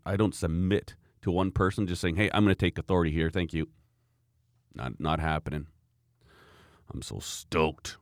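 Deep-voiced man, casual chat, rambling and lively, dry sound. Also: no room sound. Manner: animated, rambling storyteller, frequent laughs.

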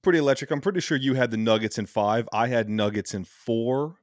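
A man's voice: medium pitch, a little fast, no room sound, clean studio sound. A man in his thirties co-hosting a casual sports podcast, upbeat and joking, swearing now and then. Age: 30s. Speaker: podcast co-host.

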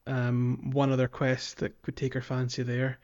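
Dull-sounding man chatting haltingly about games. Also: medium pitch, completely flat delivery, a little mellow. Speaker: podcast host. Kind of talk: casual chat recapping a video game.

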